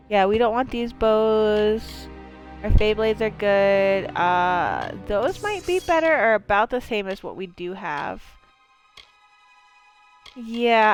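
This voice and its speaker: A woman from Maine streaming a video game, talking in a rambling, chatty way with lots of fillers and swearing. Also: medium pitch. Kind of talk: casual let's-play chat.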